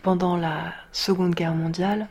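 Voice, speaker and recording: Soft-spoken woman interviewer, flat low voice. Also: a little slow, husky. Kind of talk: radio documentary interviewing.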